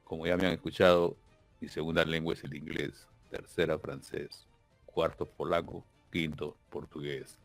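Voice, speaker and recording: A man's voice: extremely low pitch, level tone, normal speed, vocal fry, soft background music. A man from Peru with a Peruvian Spanish accent, a political candidate giving a solemn, emphatic campaign speech in an interview and repeating words as he searches for them.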